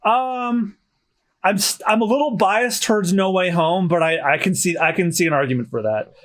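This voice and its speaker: A man hosting a casual podcast, chatty and slightly hoarse, often restarting his sentences. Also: sits high, moderate pace, fairly bright tone. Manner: chatty, self-correcting restarts. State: slightly hoarse after dental work. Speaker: podcast host.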